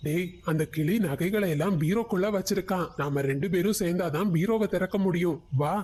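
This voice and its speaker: A man's voice: high-pitched, fairly quick, darkish tone. A man as a scheming thief crow. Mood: plotting.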